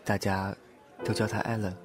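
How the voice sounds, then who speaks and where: A man plays a gentle, reserved young man in an audio drama, confiding in a fairly low voice. Music is clearly audible underneath. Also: flat delivery, moderate pace, natural voice. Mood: hesitant, confiding. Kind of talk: Chinese audio drama acting.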